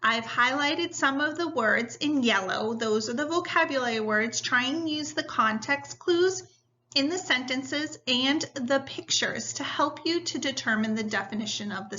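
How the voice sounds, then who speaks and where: Female teacher for classroom read-aloud: high-ish, crisp-edged bright tone, unhurried and instructional, on a muffled lo-fi recording. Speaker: teacher reading a story to students. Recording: dull, lo-fi sound.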